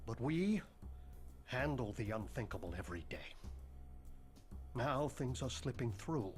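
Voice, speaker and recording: A man acts out a bookish headmaster of a school for the occult. He is pompous and morbid, delivering ornate, formal phrases with a deadpan air. It is video game cutscene acting, with music faintly underneath. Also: mid-range voice, natural voice. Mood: grave.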